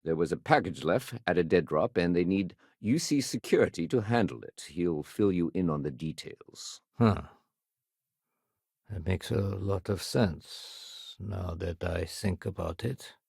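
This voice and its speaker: A man playing a dutiful, wry, level-headed space-colony security sergeant in a video game, with measured, conversational authority. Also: sits low, animated, darkish tone, slightly rough, dry recording, studio quality. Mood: reasonable, low-key. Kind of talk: video game character dialogue.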